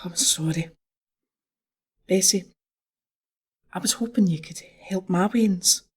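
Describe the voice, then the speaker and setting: A woman playing an anxious, sympathetic Scottish sister-in-law in a historical audio drama, with a breathy voice. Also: on the low side, takes it slowly. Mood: hushed concern.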